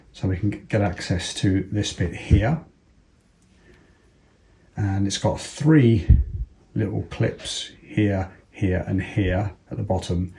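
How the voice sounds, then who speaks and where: Deep-voiced British man giving a hands-on tutorial. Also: warm tone, slight background noise. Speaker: presenter of a product installation tutorial. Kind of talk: casual step-by-step hands-on demonstration.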